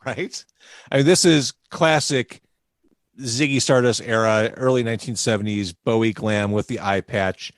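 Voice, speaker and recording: A man hosts a casual interview over a video call, chatty and self-deprecating. His voice sits at a middle pitch for a man. The sound is dry, with no room echo. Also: moderate pace. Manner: chatty, laughs at his own fumbles. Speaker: podcast host.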